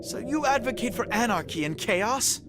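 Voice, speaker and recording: A man playing a noble, idealistic young king in a video game, speaking formally with measured earnestness. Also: extremely high pitch, animated, noisy background. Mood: earnest. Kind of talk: English video game voice acting. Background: music.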